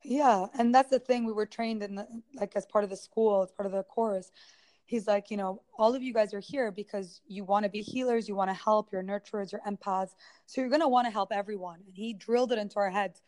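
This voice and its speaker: A woman from the United States, a guest who works as a healer, talking earnestly and in an animated way in casual conversation. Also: medium pitch, fairly quick.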